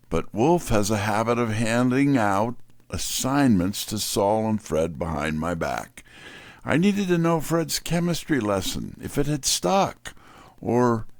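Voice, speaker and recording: A man's voice: lowish voice, a little mellow, dry recording. A man playing a tough, wisecracking private-eye narrator in an audio drama, wry and slangy. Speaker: narrator and lead character. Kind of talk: first-person hardboiled detective narration and dialogue.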